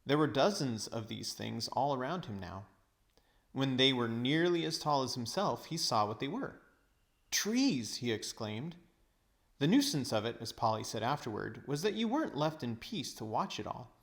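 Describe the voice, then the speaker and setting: Guy reading a kids novel out loud, average pitch, measured but expressive storytelling. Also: vocal fry. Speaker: teacher reading aloud. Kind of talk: storybook narration.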